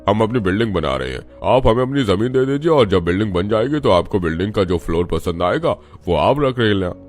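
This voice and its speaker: Man playing low-voiced persuasive property dealer. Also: animated, a little fast, a little mellow, close to an everyday voice. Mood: coaxing. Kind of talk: cartoon story dialogue. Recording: music bed behind the voice.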